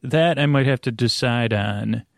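A man hosting a bedtime podcast, rambling gently and haltingly to the listener, stammering and drifting into tangents in a friendly way. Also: mid-range voice, vocal fry, dry recording, studio quality. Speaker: podcast host. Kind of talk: rambling, meandering bedtime monologue addressed to the listener.

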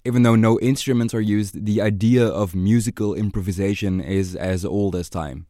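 A man's voice: on the low side, moderate pace, dark tone, no echo, studio quality. A man narrating a scripted podcast as its host.

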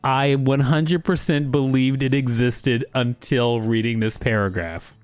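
A man co-hosting a podcast, chatting casually and reading an article aloud with wry, unhurried banter. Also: medium pitch, fairly even intonation, a little mellow, clear, resonant tone, no room sound, soft background music. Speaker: podcast co-host.

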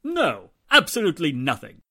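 A man acting a prissy, evasive suspect in an old radio mystery. His fairly high voice rises and falls dramatically, over-precise and easily flustered. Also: wildly swooping pitch, normal speed, sharp tone, heavily acted. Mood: defensive. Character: nervous, prissy, evasive male murder suspect.

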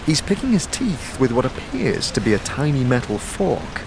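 A man narrating a fantasy audio drama in second person, in a steady, descriptive storytelling manner. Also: mid-range voice, moderate pace, creaky, background noise.

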